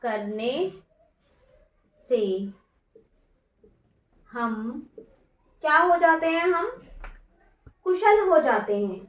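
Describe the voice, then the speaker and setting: A woman's voice: high-pitched, lively intonation, drawn-out words, pauses often, highs cut off. A woman teacher dictating exam revision notes, repeating each phrase word by word and asking herself questions, then answering them. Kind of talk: slow dictation-style lesson. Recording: echoey room, voice from far off.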